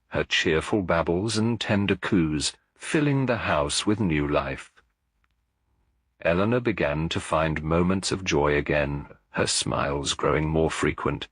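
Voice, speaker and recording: A man narrating a detective story as a scripted audiobook, in a measured, formal storytelling manner with a husky voice. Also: low voice, a bit bright, dry recording, studio quality.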